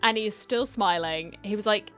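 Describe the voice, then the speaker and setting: A woman's voice: medium pitch, normal speed, fairly bright tone, faint music behind. A woman streamer giving casual game reaction commentary with dry, wry asides.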